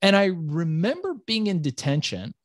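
A man from Iran, whose first language is Farsi, telling his life story as an interview guest in a swaggering, profane, animated way. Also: sits high, moderate pace, no room sound, clean studio sound. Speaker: entrepreneur guest.

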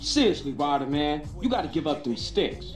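An actor playing a wary young gang member who talks in dry, sarcastic street slang. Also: male voice, a little high, very animated, moderate pace, echoey room, over music. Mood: wry.

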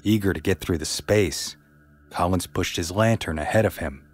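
A man narrating a scripted true story as a podcast host, in a measured, somber way. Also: low voice.

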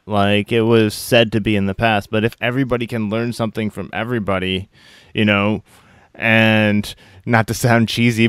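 A man hosting a podcast interview, upbeat and friendly, giving quick affirmations. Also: fairly low pitch, smooth, no echo, studio quality. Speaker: podcast host. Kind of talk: casual interview chat.

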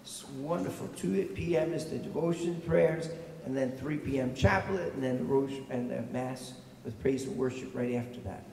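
A middle-aged male pastor with a raspy voice, giving a conversational sermon from a pulpit mic and asking the congregation questions. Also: medium pitch, normal speed, mellow, recorded from a distance, slight background noise. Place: large church, at a pulpit. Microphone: stand mic at the pulpit. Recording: echoey church heard from afar.

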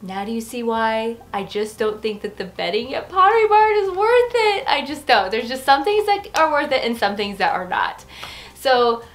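A middle-aged woman giving a casual, gushing, chatty review to camera in a bedroom. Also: a little high. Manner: gushing, expressive. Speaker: home decor vlogger. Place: furnished bedroom indoors.

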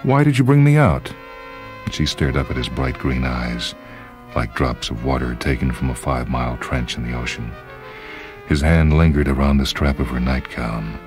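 A male story reader with a remarkably deep bass voice. He delivers scripted literary narration with drama, and music plays softly behind him. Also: fairly even intonation, normal speed.

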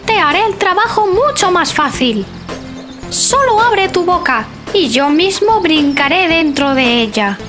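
A woman voicing a clever, cheeky monkey in a cartoon storybook, squeaky and playful. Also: lively intonation, measured pace, over music.

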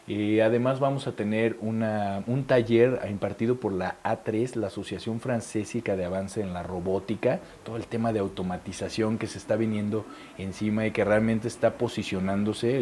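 A man's voice: lowish voice, mellow, husky. A man in his thirties with a Mexican Spanish accent, an event organizer talking fluently and promotionally in a studio interview, with a lapel mic. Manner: fluent promotional explaining with fillers.

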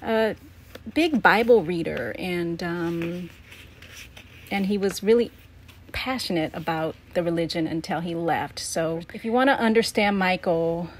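A woman's voice: fairly low pitch, measured pace, a little hiss or hum. A middle-aged woman casually reminiscing as she narrates, like a vlogger going through keepsakes.

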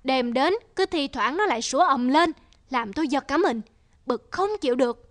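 A woman's voice: high voice, normal speed, natural voice. A woman voicing a greedy, ill-tempered older rich woman who scolds in a cartoon. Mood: irritated. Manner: scolding, irritable. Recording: music playing underneath.

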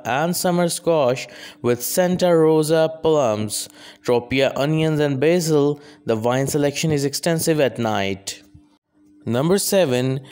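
A man narrating a scripted restaurant countdown in an even, measured reading with odd phrasing. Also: mid-range voice, smooth.

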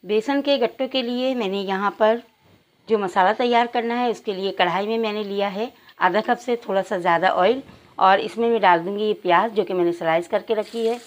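A woman's voice: mid-range voice, moderate pace. A woman narrating cooking instructions step by step, addressing viewers directly as a home cook. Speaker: home cook presenting a recipe.

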